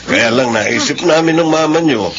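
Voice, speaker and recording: A man's voice: mid-range voice, animated, normal speed, natural voice. An actor playing an earnest, uneasy father in a radio drama, hesitant in his delivery. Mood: pleased, modest. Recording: dull, faintly noisy radio recording.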